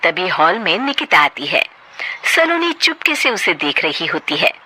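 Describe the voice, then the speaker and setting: A woman's voice: lowish voice, very animated, a little slow, pushed and bright, breathy edge. A woman narrating a scripted story as its storyteller.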